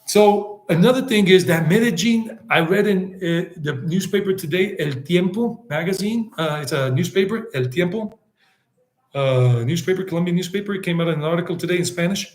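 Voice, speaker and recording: A man talks casually on a live stream, rambling from point to point, answering viewers and dropping Spanish words into his talk. His voice sits a bit high for a man. The room gives it a noticeable echo. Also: animated, normal speed. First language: English. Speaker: travel vlogger hosting a live stream.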